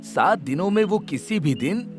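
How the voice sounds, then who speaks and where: Sly, teasing cartoon courtier, raised voice, music under it. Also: male voice, high-pitched, animated, moderate pace. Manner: playful, teasing, persuasive. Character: witty clever male royal courtier. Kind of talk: animated storybook voice acting.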